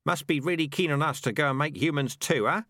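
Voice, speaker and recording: A man's voice: fairly high pitch, fast talker, fairly bright tone, dry recording, clean studio sound, voice pushed up. A man voicing a credulous, gossipy rat in an audiobook, talking persuasively and colloquially. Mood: eager, speculative.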